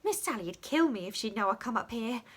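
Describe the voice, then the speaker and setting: A woman's voice: fairly high pitch, expressive, brisk, fairly bright tone. A woman voicing a small, timid, downtrodden English servant girl in a storybook, with pleading, uneducated speech.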